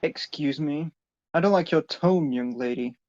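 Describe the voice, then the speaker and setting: Man acting a stern, higher-pitched domineering father. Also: measured pace, darkish tone, highs cut off, close to an everyday voice. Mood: angry, bitter. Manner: curt, dismissive, commanding. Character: stern, domineering middle-aged businessman father. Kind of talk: amateur dramatic reading of a story.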